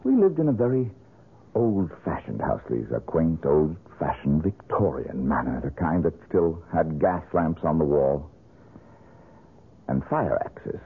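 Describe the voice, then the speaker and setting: Tormented low-voiced man, old radio. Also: big pitch swings, a little slow, slightly rough, full character voice. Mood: bitter, haunted. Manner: bitter, intense, dramatic. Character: Vincent, tormented, volatile, brooding young man. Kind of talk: 1970s radio drama acting. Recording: muffled vintage radio drama recording.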